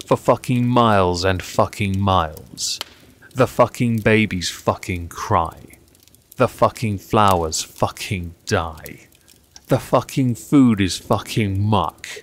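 A man reciting poetry in measured, deliberate short phrases, as the reader on his own channel. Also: lowish voice, slight background noise.

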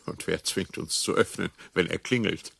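An actor in a radio play voicing a grumpy, dry husband, curt and deadpan. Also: male voice, deep voice, normal speed, gravelly, breathy edge, heavily acted. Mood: grumbling. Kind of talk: radio drama acting.

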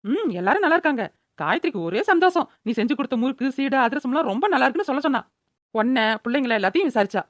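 A woman's voice: sits high, lively intonation, racing, dry recording. A woman voicing a demanding, complaining older mother-in-law in a cartoon. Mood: matter-of-fact. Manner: complaining, bossy. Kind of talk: cartoon voice-over dialogue.

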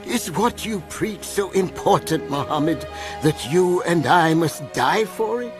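A man playing a dignified, loyal old Arabian clan elder in an animated film, grave and measured. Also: on the high side, music in the background. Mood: troubled, pleading.

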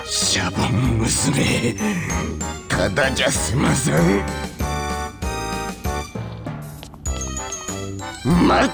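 A man's voice: medium pitch, sing-song, takes it slowly, creaky, heavily acted. An actor voicing a hot-headed, brash young male bandit with a rustic regional Japanese accent in a video game. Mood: furious. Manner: brash, loud, indignant. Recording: game music behind.

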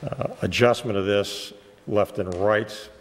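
A man with a cold, losing his voice, presenting a technical site plan to a planning board in a measured way with many "uh" fillers. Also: on the low side, steady tone, long reverb tail. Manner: measured and explanatory, with frequent "uh" fillers. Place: town meeting room.

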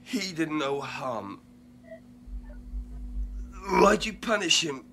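An actor playing an anguished teenage delinquent in a radio drama. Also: male voice, on the high side, big pitch swings, extremely slow, bright, forceful tone, slight background noise, heavily acted. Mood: weak, reproachful. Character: Alex, violent teenage delinquent turned anguished experiment victim. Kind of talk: radio drama acting with slangy narration.

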